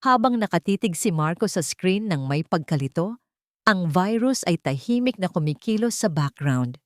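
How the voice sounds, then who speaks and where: A woman narrates an audio drama in the first person. Her voice sits on the low side, recorded close and dry. The delivery is scripted, dramatic storytelling. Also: expressive, normal speed, no echo.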